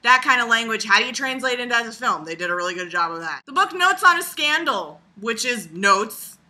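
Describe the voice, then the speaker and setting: Very bright-voiced woman vlogging with sarcastic bite. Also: on the high side, fairly quick. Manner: animated, sarcastic, crude humor with frequent swearing. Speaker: video blogger. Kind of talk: casual rambling commentary to camera.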